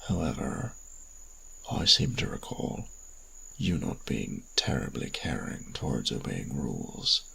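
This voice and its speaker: Stern vampire priest, extremely low pitch, slow measured delivery, raspy breathy rasp, formal archaic wording, audio drama. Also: male voice, bright, forceful tone, heavily acted, low-quality recording. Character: formal vampire priest, male agent of a church, stern and condescending. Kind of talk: scripted audio roleplay drama.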